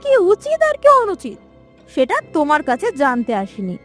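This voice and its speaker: A woman plays a cruel, arrogant young cartoon princess with a very high, swooping voice. She speaks with imperious scorn, and music plays behind her. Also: wildly swooping pitch, moderate pace. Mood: angry, haughty. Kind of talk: animated moral story voice acting.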